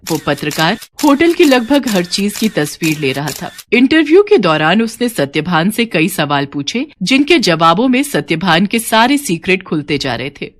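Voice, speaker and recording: A woman's voice: mid-range voice, a little hiss or hum. A woman narrating a scripted cartoon story as its storyteller.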